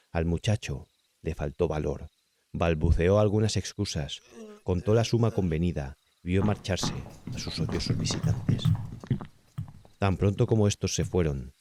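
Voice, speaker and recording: Male audiobook narrator, Castilian accent: low, dark tone, measured scripted delivery for gothic horror. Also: no room sound. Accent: Castilian Spanish. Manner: measured, enunciated storytelling.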